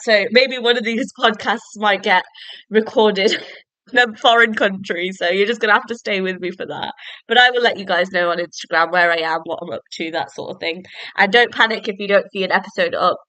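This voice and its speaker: A woman's voice: medium pitch, bright, forceful tone, no room sound. Chatty young woman, bit stuffy from a cold, rambles with lots of likes, dry close mic. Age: young adult. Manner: rambling, chatty, many "like" fillers. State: bunged up, sniffly from a cold. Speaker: podcast host. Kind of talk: casual solo book-review podcast.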